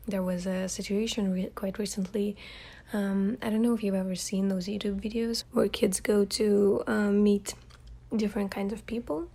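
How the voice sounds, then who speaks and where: A young woman with a Russian accent, hosting a casual podcast monologue while she has a cold, talking softly and hesitantly and often dropping into a breathy whisper. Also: medium pitch, little pitch movement, normal speed. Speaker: ASMR channel host.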